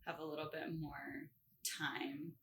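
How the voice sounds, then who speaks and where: A young woman in her twenties, a musician chatting as a podcast guest. Her voice sits fairly low yet sounds bright and crisp. She laughs easily and gets animated when telling stories. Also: slow pace, a little hiss or hum. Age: 20s. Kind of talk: casual interview chat.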